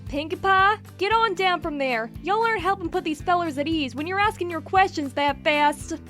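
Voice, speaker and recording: A woman's voice: very high voice, moderate pace, a bit bright, faint music behind. A woman with a Southern American accent voicing a firm, motherly farm pony. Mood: scolding.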